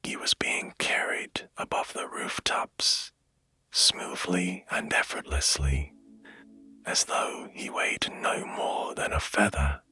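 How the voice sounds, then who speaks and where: A man narrating a bedtime story in a hushed, gentle and soothing manner, taking his time. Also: extremely low pitch, slow, slightly rough, whispered. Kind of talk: slow, whispered bedtime storytelling. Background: music.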